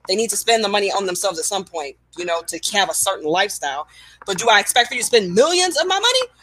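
Bright-voiced woman chatting animatedly. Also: medium pitch, quick pace, poor audio. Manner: animated, self-mocking storyteller. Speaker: podcast co-host. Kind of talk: casual chat.

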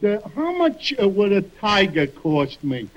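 An actor playing a dim-witted, earnest sidekick, hesitant and naive, in 1940s radio comedy style. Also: male voice, high-pitched, very animated, a little slow, dull audio. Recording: very noisy old radio recording.

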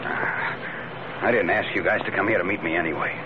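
Man playing a low-voiced, wary ex-con, old radio. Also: steady tone, normal speed, close to an everyday voice. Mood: irritated, defiant. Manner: curt, hesitant, defensive. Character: wary, resentful, short-tempered male ex-convict. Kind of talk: 1940s radio acting. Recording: muffled, noisy old radio recording.

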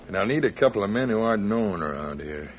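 An actor playing a gruff, scheming saloon boss in an old radio western, with blunt, slangy tough talk. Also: male voice, lowish voice, animated, fast, lightly acted. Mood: brisk, commanding. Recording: muffled old radio recording, background noise.

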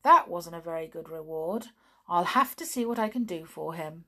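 A woman voicing a kind, practical male toy friend who speaks in a brisk, reassuring way. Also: fairly low pitch, lively intonation, fairly bright tone. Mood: sympathetic.

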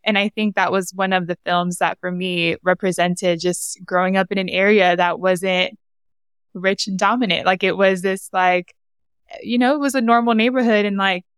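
A woman's voice: mid-range voice, a bit bright, smooth, no echo, clean studio sound. A young adult woman from San Diego hosting an interview, chatty and enthusiastic, full of "like" and "right?". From United States, San Diego. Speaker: host. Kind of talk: casual interview chat.

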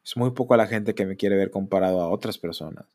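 A man's voice: fast, mellow. A man in his thirties with a Mexican accent, hosting a solo podcast and speaking candidly in a rambling way. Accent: Mexican Spanish. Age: 30s. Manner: candid, rambling, mocks others in exaggerated high voices. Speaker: podcast host.

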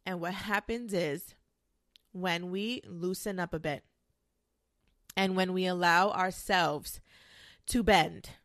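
A woman's voice: fairly low pitch, fairly bright tone, dry recording, studio quality. A young woman with a congested, nasal voice from a cold, giving frank, chatty advice as a podcast host and swearing casually.

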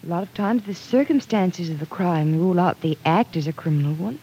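A woman's voice: on the low side, expressive, fairly quick, warm tone, slight background noise, rough recording. An actress playing an earnest, stubborn, idealistic young small-town woman in an old-time radio drama. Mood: insistent. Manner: earnest, insistent.

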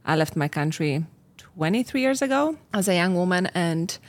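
A woman in her forties from Poland, speaking with a Polish accent in a low voice. She talks earnestly and reflectively, her phrasing slightly non-native, as a relationship coach in a relaxed podcast chat. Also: normal speed. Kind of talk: casual podcast conversation.

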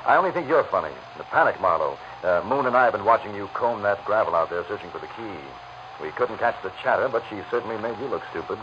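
Deep, smug, swinging-voiced gangster henchman played by a man. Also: lively intonation, fast talker, natural voice. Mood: smug taunting. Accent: American. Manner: taunting, drawling, sardonic. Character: smug, mocking gangster henchman who won't bend. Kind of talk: 1940s radio crime drama acting. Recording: noisy, muffled old radio recording.

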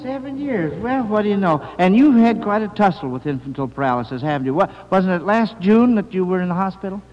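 A man's voice: high voice, lively intonation, fast talker, highs cut off. A man hosting a 1940s radio quiz, speaking to children in a folksy, paternal manner. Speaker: quiz show host. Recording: reverberant hall, vintage radio broadcast.

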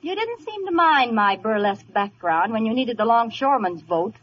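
A woman's voice: fairly high pitch, lively intonation, normal speed, natural voice. Through a dull, hissy vintage broadcast comes a woman acting as a brassy showgirl. She is sarcastic and breezy, her mid-range voice swinging widely as she teases. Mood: mocking. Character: brassy, teasing ex-burlesque showgirl, sharp-tongued woman. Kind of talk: 1940s radio comedy acting. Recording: muffled, noisy old radio broadcast.

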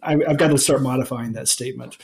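A man in his forties from Alabama, the usual host, answering interview questions in a relaxed, rambling way with many restarts. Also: mid-range voice, fast talker, creaky. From Alabama, United States. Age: 40s. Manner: rambling, many ums and restarts, laughs easily. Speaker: podcast host being interviewed. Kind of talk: casual interview chat.

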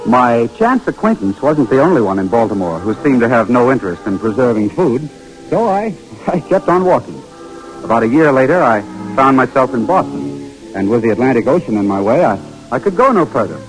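A man's voice: medium pitch, big pitch swings, a little fast, close to an everyday voice, poor audio. An actor in an old radio drama playing a determined young inventor who narrates his own story, earnest and persuasive with small stammers. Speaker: narrator and lead character. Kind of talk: 1940s radio drama acting. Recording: old radio broadcast with music.

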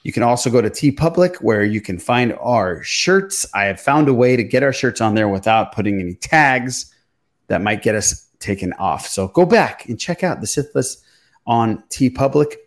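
A man's voice: mid-range voice, animated, normal speed. Man, podcast host, mid-range voice, casual informal chat, loose language. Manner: informal, swearing.

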